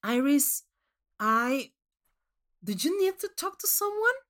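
Earnest young boyfriend for narrated fiction played by a woman, hesitant delivery, animated pitch. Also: slow, exaggerated voice. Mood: worried. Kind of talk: storybook character voice in narration.

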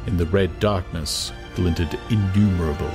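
A man narrating a novel as a dramatized audiobook in a measured, deliberate way. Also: deep voice, music in the background.

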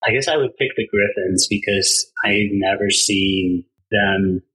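A man's voice: on the low side, unhurried, bright, forceful tone, slightly rough, poor audio. A man in his thirties from Colombia, chatting casually as a podcast guest, rambling and laughing often. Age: 30s. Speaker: podcast guest, background artist. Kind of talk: casual chat.

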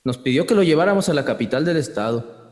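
A man's voice: on the high side, expressive, fast, darkish tone, in a large hall, natural voice. An actor playing a folksy, jocular rural man with a northern Mexican accent and wry, slangy talk in a radio drama. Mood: wry recounting. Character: folksy rural man narrating his misadventure, jocular, teasing. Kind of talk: radio drama narration and dialogue.

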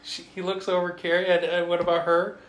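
A man from Canada telling a casual, humorous travel story to a writers' group, animated and chuckling often as he acts out the dialogue. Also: high voice, fairly quick. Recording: echoey room with faint noise.

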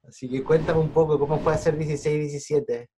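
A podcast host with a Chilean Spanish accent who asks relaxed questions and drops short agreeing replies. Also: medium pitch, fast, slight background noise. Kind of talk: casual interview.

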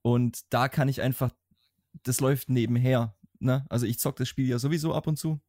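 A young man with a Swabian accent talks casually on a podcast, rambling along and often restarting his sentences. His voice sits at a middling pitch and is recorded dry and close, with no room sound. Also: fairly even intonation, brisk, darkish tone, no echo. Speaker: podcast co-host.

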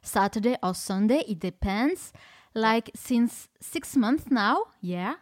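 A woman's voice: a little high, lively intonation, takes it slowly, no room sound, studio quality. A French woman hosting a podcast in English, speaking with a French accent and encouraging, slightly non-native phrasing. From France. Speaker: podcast host. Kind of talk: casual interview in English.

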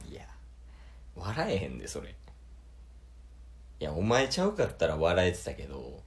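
A man's voice: deep voice, wildly swooping pitch, normal speed. A man acting a proud, competitive contestant rival in an amateur radio drama, talking bluntly and informally with a Kansai accent. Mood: teasing, skeptical. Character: competitive older male rival on a dating show, proud and determined.

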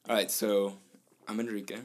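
A young man from New Mexico with a slight Mexican Spanish accent, co-hosting a casual podcast in a laid-back, soft-spoken way. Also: lowish voice, flat delivery, moderate pace. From New Mexico, USA. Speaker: podcast co-host. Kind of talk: casual chat.

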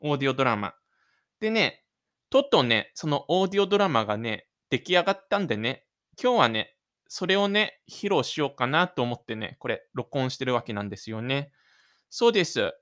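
A man's voice: medium pitch, big pitch swings, fairly quick, a bit bright. A man presenting his own self-made audio drama, moving between casual radio-show talk and scripted narration.

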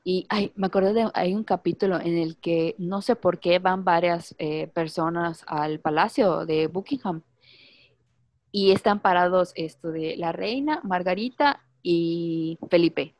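A woman's voice: lowish voice, unhurried, a little mellow, no echo. A woman, chatting casually and telling stories with wry asides. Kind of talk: casual chat.

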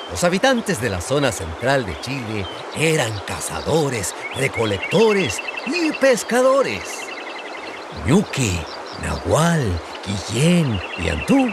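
Guy storyteller, theatrical, slow, pitch all over the place, outdoor noise behind. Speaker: narrator. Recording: clear outdoor background noise.

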